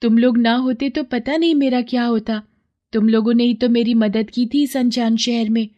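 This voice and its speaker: A woman's voice: fairly high pitch, steady tone, moderate pace, warm tone, lo-fi sound, pitched above the performer's own voice, close to an everyday voice. A woman voicing an earnest, affectionate poor young girl in a children's moral story. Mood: fond chiding.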